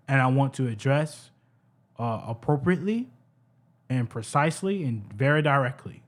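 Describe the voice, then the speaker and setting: A man with a fairly high voice reacts to a clip in a heated, unpolished rant. He repeats phrases, restarts sentences and swears freely. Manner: heated, profane, repeats himself, stumbles over words. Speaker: reaction streamer. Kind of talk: ranting reaction commentary.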